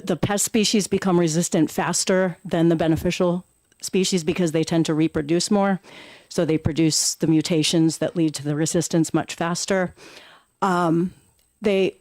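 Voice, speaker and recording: A woman speaking loudly and urgently as she testifies to a legislative committee. She draws on her work as a nurse. Her voice is fairly low-pitched and sounds dry, with no room echo. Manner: loud voice, earnest and urgent. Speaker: nurse giving public testimony. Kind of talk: public testimony.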